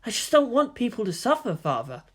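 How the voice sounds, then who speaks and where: A man voicing a teenage boy, a commander's son in a story, pleading yet composed and softly defiant. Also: very high-pitched, sing-song, fairly quick, voice pushed up, heavily acted.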